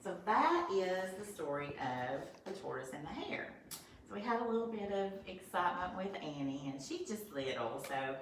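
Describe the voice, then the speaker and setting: Expressive teacher reading to first graders. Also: female voice, fairly low pitch, normal speed. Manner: expressive, gentle, talks to young children. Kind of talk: storybook read-aloud and lesson. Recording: roomy echo from a distance, faint noise.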